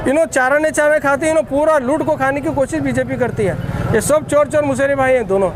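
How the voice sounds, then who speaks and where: A man's voice: extremely high pitch, fast, over music. A man with a Deccani Urdu accent making an emphatic, accusatory, repetitive impromptu political statement to the press. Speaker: political activist.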